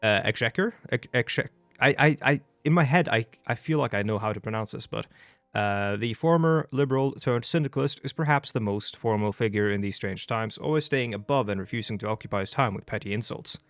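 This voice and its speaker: A man's voice: medium pitch, brisk, no echo. Rambling Norwegian-accented young man. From Norway. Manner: chatty and rambling, with frequent restarts. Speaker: let's play host. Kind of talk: casual gameplay commentary. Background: faint background music.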